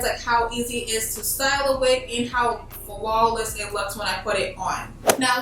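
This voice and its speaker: High-voiced woman in a very echoey room. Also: fairly bright tone. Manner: informal, emphatic, peppered with like and literally. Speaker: beauty vlogger presenting a tutorial. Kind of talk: casual chatty hair tutorial. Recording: very echoey room, distant mic, faint music.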